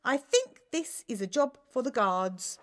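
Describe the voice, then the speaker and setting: A woman's voice: a little high, animated, a little slow, voice pushed up. A woman voicing an earnest, put-upon giant in a children's storybook, complaining as it explains itself.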